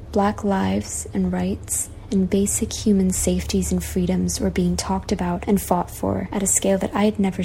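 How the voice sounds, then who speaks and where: Young woman from malaysia reading her essay, earnest, mellow and a bit gravelly. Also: medium pitch, slight background noise. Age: 20s. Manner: earnest, measured, repetitive refrains. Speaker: guest essayist. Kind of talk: reflective personal essay, read aloud. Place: childhood bedroom at home.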